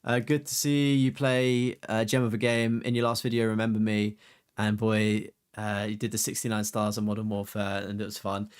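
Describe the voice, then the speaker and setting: A man's voice: on the low side, level tone, moderate pace, clear, resonant tone. Rambling young man streaming games. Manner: rambling, filler-heavy, casually swearing. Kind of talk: casual live-stream chat.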